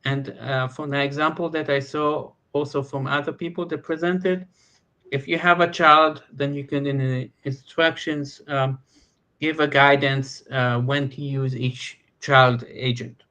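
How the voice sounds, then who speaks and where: A woman from Israel on a video call, explaining steps helpfully in a halting way. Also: very deep voice, fairly even intonation, fairly bright tone. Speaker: meeting participant. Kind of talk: casual chat in online meeting. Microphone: computer mic on video call.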